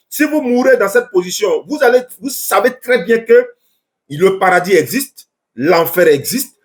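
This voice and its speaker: A man preaches earnestly to callers on a live stream. His voice sits high and keeps circling back on itself in stumbling restarts. He sounds like he's picked up on a phone setup.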